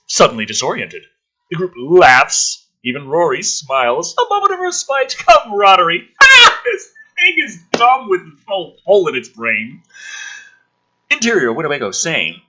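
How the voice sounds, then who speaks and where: A man with a husky, very bright and forceful voice performs a script read-through. His pitch swings wildly as he narrates, and his delivery is sarcastic and exasperated, peppered with swearing. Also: low-quality recording. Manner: sarcastic, foul-mouthed, exasperated outbursts. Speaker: gaming channel host reading and mocking a film script. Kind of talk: dramatic script read-through with ranting commentary.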